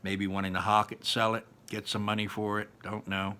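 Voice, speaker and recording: A man hosting a read-aloud, giving informal, halting commentary on a chapter and repeating himself for emphasis. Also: lowish voice, flat delivery, bright, forceful tone.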